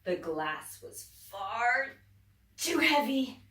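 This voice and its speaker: Woman teacher reading to kids; slow and very lively delivery, bright tone, echoey room, mic at a distance. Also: on the high side. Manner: expressive, swinging from whispers to loud exclaims. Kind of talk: children's story reading.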